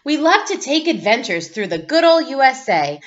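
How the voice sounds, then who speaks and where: A woman in her thirties reading a children's picture book aloud in an expressive, playful way, like a teacher. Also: sits high, normal speed. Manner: expressive, playful exclamations and sound effects. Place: small room at home, indoors. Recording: dull lo-fi webcam audio.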